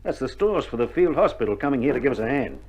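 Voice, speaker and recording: Laconic Australian army medic, swooping intonation. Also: male voice, medium pitch, sing-song, fast talker, a little mellow, breathy edge. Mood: wry. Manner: laconic, slangy. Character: weary, stoical Australian army medic, wry and hopeful. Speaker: actor. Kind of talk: dramatised wartime radio play. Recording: muffled 1960s vinyl record, music behind.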